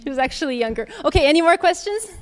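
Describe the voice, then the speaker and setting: A woman's voice: sits high, animated, rapid-fire. A woman in her thirties answering audience questions in a candid, conversational way, thinking aloud as she goes. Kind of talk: casual Q&A talk.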